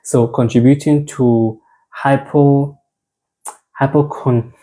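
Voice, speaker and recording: A man's voice: medium pitch, steady tone, takes it slowly, warm tone, poor audio. A young South African man giving an informal men's health talk, conversational, repeating phrases and restarting words.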